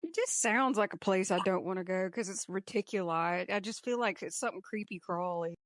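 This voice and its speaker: A woman's voice: mid-range voice, brisk, no room sound. Playful woman hosting, close dry sound. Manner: playful, teasing. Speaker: podcast host. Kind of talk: casual banter among co-hosts.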